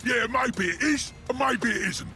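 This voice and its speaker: A man voicing a surly, cocky ork fighter pilot in a video game, curt and impatient, with a gruff Cockney English voice. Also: very high-pitched, a little slow, sharp tone, soft background music, exaggerated voice. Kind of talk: video game character acting.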